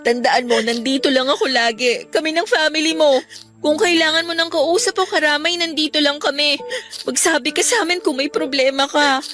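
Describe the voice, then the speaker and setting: High-voiced, caring teenage best friend, Filipino. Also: female voice, brisk, fairly bright tone. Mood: earnest, reassuring. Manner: earnest, tearful, pleading. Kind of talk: Tagalog radio drama acting. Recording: music bed under the voice.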